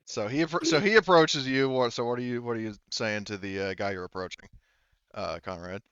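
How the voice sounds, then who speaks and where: A male game master casually improvises narration for a tabletop game. His voice is clean and smooth, his delivery rambling and self-correcting, recorded dry with a slightly muffled top end. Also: medium pitch, a little fast, fairly bright tone, no room sound.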